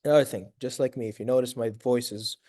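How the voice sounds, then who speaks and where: A man lecturing medical students in a raspy voice, quizzing them and praising answers, with Arabic fillers between points. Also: medium pitch, steady tone, fast, dark tone, dry recording, studio quality. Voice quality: raspy from long talking. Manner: sprinkles Arabic fillers like tamam, inshallah.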